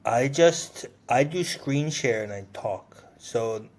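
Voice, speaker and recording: A man's voice: mid-range voice, expressive, slow pace, darkish tone. A man in his forties co-hosting a casual podcast chat, talking emphatically with lots of "like" and some swearing. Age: 40s. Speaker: podcast co-host.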